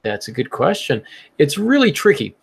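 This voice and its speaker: A man's voice: on the high side, wildly swooping pitch, moderate pace, creaky, slightly rough. A man hosting a podcast, talking casually in a chatty, explanatory way. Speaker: podcast host.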